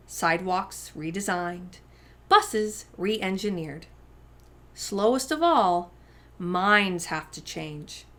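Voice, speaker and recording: A woman hosting a story time, reading a picture book aloud to young children with deliberate, emphatic phrasing. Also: medium pitch, fairly bright tone. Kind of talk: expressive children's read-aloud narration.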